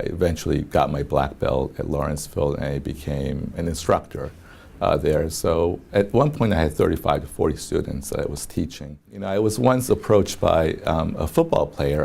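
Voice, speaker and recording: A man in his fifties from South Korea, an attorney, telling his life story in a halting, reflective way with many pauses and soft chuckles. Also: a bass voice, gravelly, breathy edge. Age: 50s. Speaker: attorney interviewee.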